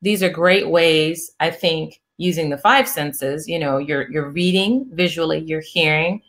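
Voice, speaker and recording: A middle-aged woman hosting an interview, talking in a soft, soothing way with many restarts. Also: low-pitched, measured pace. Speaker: interview host, psychiatrist. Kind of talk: casual interview chat.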